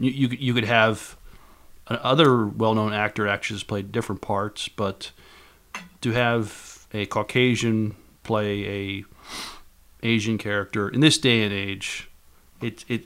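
A man on a podcast panel speaking casually, in a measured and somewhat hesitant way. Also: on the low side, fairly even intonation, a bit bright. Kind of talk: casual discussion.

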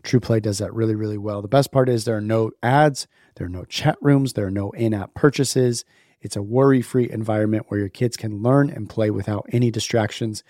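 Man, hoarse sick voice, low, mellow, dry close sound, chatty podcast host. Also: steady tone, brisk, no room sound. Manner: upbeat, chatty, laughs at himself. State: hoarse, sick, losing his voice. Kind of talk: casual podcast intro and sponsor read.

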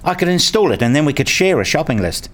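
A man's voice: fairly high pitch, sing-song, quick pace. A man playing a frustrated, pleading father who tells his own life in a radio play, wordy and earnest. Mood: eager, pleading. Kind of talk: first-person radio play narration and dialogue.

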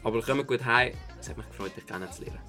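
A man's voice: mid-range voice, quick pace, pushed and bright, soft background music, close to an everyday voice. An actor playing a polite man in a radio drama. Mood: apologetic. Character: man politely begging off as he says goodbye.

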